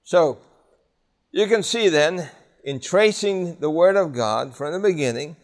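New man teaching a Bible class; slightly high, emphatic, quizzes the class. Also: lively intonation, a little slow. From New York City. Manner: conversational, asks the class questions, emphatic repetition.

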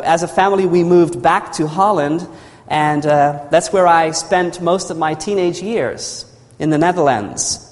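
Earnest preacher man in a reverberant hall. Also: a little high. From New Zealand. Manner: earnest storytelling preaching, repeats phrases, calls for amens. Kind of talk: sermon. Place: church hall. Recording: long reverb of a large hall.

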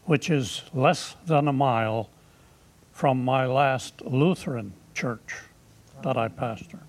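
A man's voice: mid-range voice, slow. An older man, a retired seminary professor who now pastors a small church, speaking plainly.

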